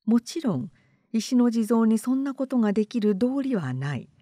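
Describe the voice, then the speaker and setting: A woman narrator reading literary fiction aloud in a measured, composed manner. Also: fairly high pitch, very animated, mellow, dry recording, studio quality.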